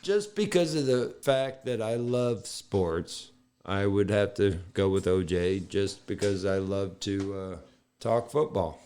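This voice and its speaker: A man co-hosting a casual podcast chat, joking along in a slow, drawn-out way. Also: on the low side, lively intonation, darkish tone. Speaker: podcast co-host.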